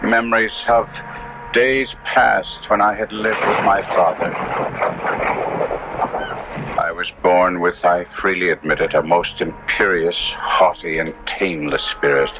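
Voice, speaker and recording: A man's voice: deep voice, a little slow, noisy background. An actor playing a proud, tormented young Italian nobleman who recounts his downfall in florid, theatrical 1970s radio drama narration. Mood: brooding, despairing. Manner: florid, grandiloquent, theatrical delivery. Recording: muffled 1970s radio broadcast with music.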